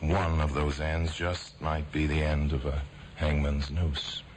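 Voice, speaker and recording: Very deep, grave radio narrator. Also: male voice, little pitch movement. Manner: grave and measured. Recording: old radio broadcast with faint hiss.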